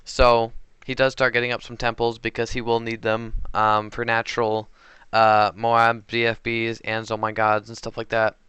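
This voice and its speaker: Bright, crisp-voiced man casually narrating gameplay. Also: mid-range voice, monotone, moderate pace, dry recording. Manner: hesitant and unsure, many fillers and self-corrections. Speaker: gameplay commentator. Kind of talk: casual game commentary voice-over.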